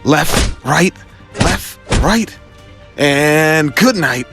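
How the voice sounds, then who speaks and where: Young male superhero, voiced by a man: cocky, quippy, pitched up, bouncing inflection, audio drama with faint music. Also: expressive, very slow, creaky, heavily acted. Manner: quippy, exclaiming.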